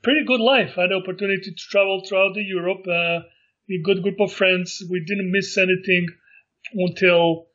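A middle-aged man from Montenegro, recalling his life in a casual interview and speaking haltingly with many fillers and restarts. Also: sits high, fairly even intonation, a bit bright, dull audio. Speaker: interview guest.

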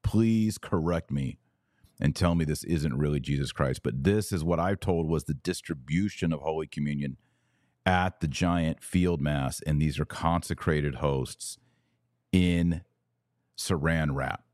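A man's voice: a bass voice, measured pace, darkish tone, no room sound, clean studio sound. A man hosting a podcast, giving live commentary and interviewing. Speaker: podcast host.

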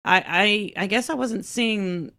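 A woman co-hosting a casual podcast, talking through a TV episode in a relaxed, agreeable way. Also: medium pitch, takes it slowly. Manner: relaxed, agreeable, fillers like "like" and "you know". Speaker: podcast co-host. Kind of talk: casual chat discussing a TV show.

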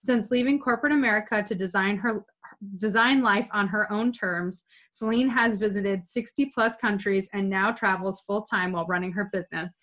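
A woman's voice: mid-range voice, moderate pace, fairly bright tone. A woman hosting a podcast interview, chatty and upbeat, in her thirties. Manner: chatty, upbeat, restarts words. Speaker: podcast host.

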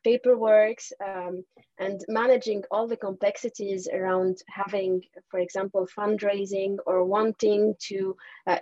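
A woman's voice: medium pitch, a little mellow, no room sound, lo-fi sound. A young woman from Tunisia, a lawyer guest, chatting casually in an interview and laughing often.

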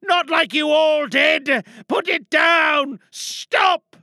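A woman's voice: sits high, drawn-out words, sharp tone, dry recording, clean studio sound, voice pushed up, full character voice. A woman playing a stern, paranoid female spaceship captain in a dramatic monologue, commanding and pleading by turns with theatrical urgency. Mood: desperate, pleading.

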